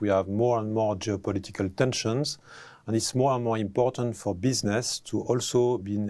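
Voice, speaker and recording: A man, a professor answering interview questions, pausing often with fillers and restarting phrases. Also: on the low side, darkish tone. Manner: hesitant, many fillers and restarts.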